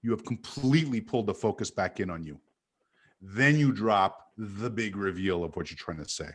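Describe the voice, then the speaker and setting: A man from Montreal, an animated guest who tells stories and corrects himself as he chats on a video call. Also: fairly low pitch, moderate pace. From Canada. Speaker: guest sales speaker. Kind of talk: casual video-call chat.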